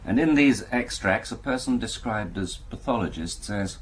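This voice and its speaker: A grave appeal judge played by a male actor in a radio drama. His voice is low and his delivery formal and measured, with faint noise behind it. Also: animated, close to an everyday voice. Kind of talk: naturalistic radio drama acting.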